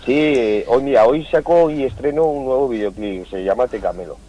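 A man's voice: medium pitch, a little fast, dark tone, muffled recording. A man from Zaragoza, a singer guest in his forties, chatting casually and rambling in a radio interview, with a slight southern Spanish lilt. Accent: Andalusian-tinged singing drawl with Aragonese speech. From Zaragoza, Spain.

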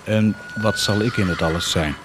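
An actor playing a cruel, blasphemous warlord in a radio drama, mocking and grandiose, declaiming sardonically with dramatic pauses. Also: male voice, lowish voice, background noise, lightly acted. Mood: mocking, questioning. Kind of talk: theatrical radio drama acting.

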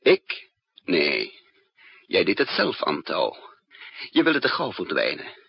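An actor playing a weary, brooding male spy who narrates his own story with slow, deliberate drama in a 1960s radio play. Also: sits low, huge pitch swings, creaky, slightly rough, full character voice. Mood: grim, confronting. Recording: dull, echoey old radio recording.

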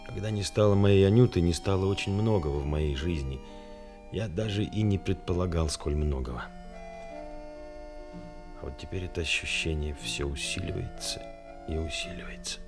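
An actor in a radio play, playing a grieving, thoughtful widowed war correspondent with a sombre manner. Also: male voice, deep voice, moderate pace. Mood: grieving, reflective. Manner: reflective, sombre. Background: music.

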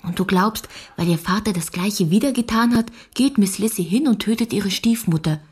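A woman's voice: medium pitch, moderate pace, a little mellow, breathy edge, lightly acted. An actress playing a curious, stubborn, loyal young girl in a radio drama, questioning and arguing in an earnest way. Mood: argumentative.

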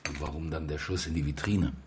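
Very deep, brusque detective. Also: male voice, steady tone, quick pace, noisy background. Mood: terse, probing. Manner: terse, probing questions. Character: brusque, brooding male lead detective. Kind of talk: naturalistic radio crime drama acting.

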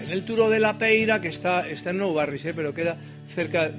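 High-voiced Spanish man bantering over guitar. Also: brisk. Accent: Spain Spanish. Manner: rambling, self-deprecating, asks the audience tag questions. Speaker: singer-songwriter and comedian performing live. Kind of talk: comic stage banter between songs. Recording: live performance with acoustic guitar. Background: acoustic guitar.

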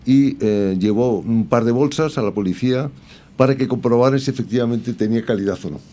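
A man giving casual, amused radio commentary on news stories in a halting way. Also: medium pitch, warm tone. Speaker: radio show contributor. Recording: faint background noise.

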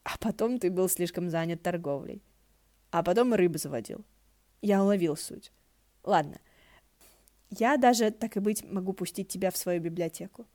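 A woman voicing a proud, sly male ghost lord in an audiobook, sarcastic and quick to bark. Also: fairly low pitch, warm tone, no room sound, clean studio sound, lightly acted. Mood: teasing, proud. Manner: sarcastic, barking, teasing.

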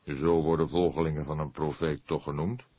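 A man plays a seasoned older detective in a crime story. His voice is very low and husky, recorded dry and close. He phrases things thoughtfully, with measured courtesy. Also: fairly even intonation, dark tone, no echo. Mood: earnest. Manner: deliberate, measured, earnest. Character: grey-haired veteran male detective, thoughtful and courteous.

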